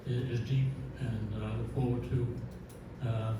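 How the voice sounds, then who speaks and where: An older man with a Newfoundland accent introducing himself as a council candidate, halting and repeating himself. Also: medium pitch, flat delivery, far from the mic, noisy background. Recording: echoey hall recorded from afar.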